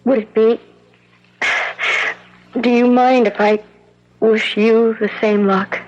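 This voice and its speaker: An actress playing an earnest, tender young woman in a 1970s radio drama, speaking gently and hesitantly. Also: a little high, level tone, takes it slowly. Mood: hopeful. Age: young adult. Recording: dull lo-fi 1970s radio recording.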